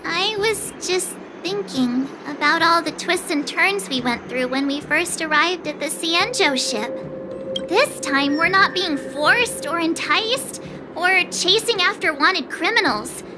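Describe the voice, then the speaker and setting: Very high, perky young adventurer girl. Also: lively intonation, slow, over music, full character voice. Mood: nostalgic, chatty. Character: perky, chatty young female adventurer, cheerful and curious. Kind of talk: anime-style video game voice acting.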